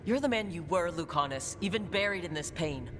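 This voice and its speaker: A woman's voice: lowish voice, lively intonation, fairly quick, natural voice. Woman playing a resolute hero, noisy background. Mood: reassuring. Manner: earnest, firm, reassuring. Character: resolute, loyal hero leading a rescue. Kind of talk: video game character acting. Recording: clear background noise.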